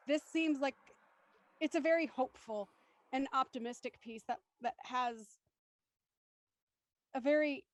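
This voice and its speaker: A woman's voice: a little high, darkish tone, dry recording. A woman speaking as a panelist in a discussion, articulate and reflective, setting out considered thoughts.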